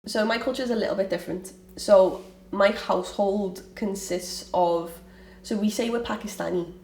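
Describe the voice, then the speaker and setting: A woman's voice: mid-range voice, normal speed, darkish tone. Woman with a Liverpool accent, recorded from afar. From Liverpool, England. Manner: candid, rambling with frequent restarts. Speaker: interviewee. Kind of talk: personal storytelling interview. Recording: roomy, from a distance, faint ambient noise.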